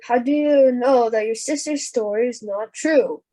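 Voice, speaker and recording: A deliberate professor in an amateur radio play, acted by a woman, speaking slowly in a fairly high, soft-edged voice. The delivery is measured and questioning, with weight on each point of logic. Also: dry recording. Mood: measured, inquiring. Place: indoors, on a group video call.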